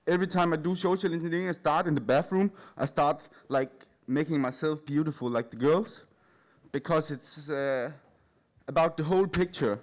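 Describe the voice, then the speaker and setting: A man from Denmark with a Danish accent, giving an informal, anecdotal talk in a nervous, hesitant, halting and giggly way. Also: fairly high pitch. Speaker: speaker at a live event. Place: in front of a large live audience.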